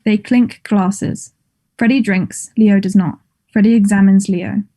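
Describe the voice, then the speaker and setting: A woman's voice: medium pitch, steady tone, a little slow, warm tone. A woman reading stage directions as scripted narration for a play, in a matter-of-fact manner.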